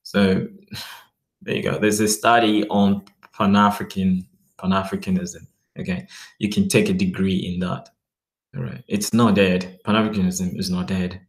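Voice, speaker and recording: A man talking casually to his viewers, rambling and often checking in with "okay" while reading web pages aloud slowly. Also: low voice, level tone. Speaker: vlogger. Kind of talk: casual talk to camera.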